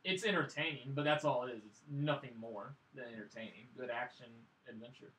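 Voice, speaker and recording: A man's voice: mid-range voice, fairly quick, a bit bright. A man hosting a casual film review panel, chatting and moderating as he goes. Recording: echoey room.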